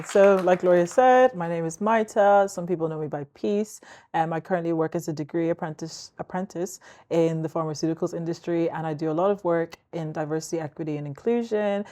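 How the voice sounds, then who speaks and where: Mellow young woman. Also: fairly low pitch. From Zimbabwe, raised in Northern Ireland. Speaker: guest, youth leader. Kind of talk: casual chat.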